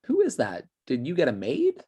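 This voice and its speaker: A woman playing a blunt, snarky sibling with a husky voice in a comedy table read. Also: rumbling low, wildly swooping pitch, normal speed, warm tone, exaggerated voice. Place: remote video call read.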